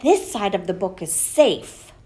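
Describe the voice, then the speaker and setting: A woman voicing a small boy knight in a children's picture book read-aloud, with drawn-out, dramatic delivery. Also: mid-range voice, very animated, dark tone. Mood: smug, wary. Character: small boy knight, smug then alarmed, storybook.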